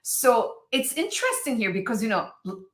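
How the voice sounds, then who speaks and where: A woman's voice: fairly high pitch, very animated, moderate pace, fairly bright tone, reverberant. A woman with a Turkish accent, a podcast host whose first language is Turkish, talking in an enthusiastic, affirming way. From Turkey. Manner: enthusiastic, affirming, laughs often. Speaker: podcast host and NLP teacher. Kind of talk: conversational interview.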